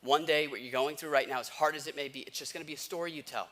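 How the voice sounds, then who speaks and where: A man's voice: fairly high pitch, fairly even intonation, very fast, bright, forceful tone. An American man in his thirties preaching a conversational sermon, telling personal stories in everyday slang and posing rhetorical questions. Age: 30s. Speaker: pastor preaching.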